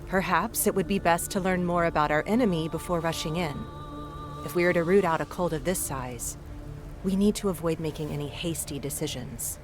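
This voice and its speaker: An actress playing a cautious, grave counselor in a fantasy game drama. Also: female voice, fairly low pitch, a little fast, over music, close to an everyday voice.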